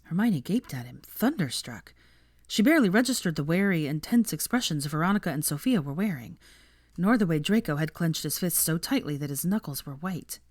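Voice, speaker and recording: Woman audiobook narrator, low register, dry close sound, expressive dramatic reading of fan fiction. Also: brisk, no room sound.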